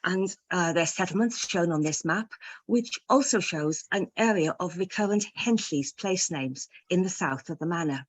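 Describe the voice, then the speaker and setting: Woman giving a measured academic talk. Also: fairly low pitch, husky. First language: not Welsh. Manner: measured, careful delivery with occasional restarts. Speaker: conference speaker.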